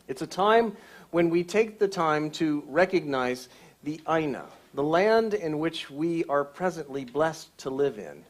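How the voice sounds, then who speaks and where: A man's voice: on the high side, lively intonation, measured pace. Man giving a Sunday church message. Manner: explanatory, teaching tone. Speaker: church speaker. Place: church sanctuary.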